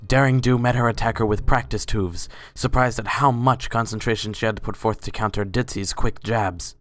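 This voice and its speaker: Low-voiced man narrating a story. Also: fairly even intonation, normal speed, a bit bright, no room sound, soft background music. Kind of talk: scripted dramatic story narration.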